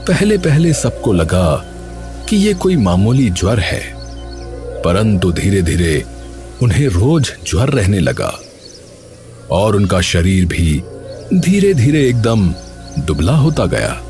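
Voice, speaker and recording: A man voicing a stern but kindly senior palace kitchen overseer in an animated story, with a measured, questioning manner. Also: lowish voice, faint music behind. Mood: confiding. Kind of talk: Hindi animated story dialogue.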